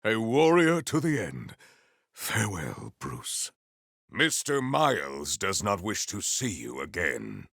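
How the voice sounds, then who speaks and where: Deep, grandiose noble warrior. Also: male voice, huge pitch swings, slow, gravelly, a bit bright, dry recording, clean studio sound, heavily acted. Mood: stoic, formal. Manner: formal, archaic, grandiose phrasing. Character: noble, solemn warrior hero, valiant and boastful. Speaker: video game voice actor. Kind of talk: theatrical video game character lines.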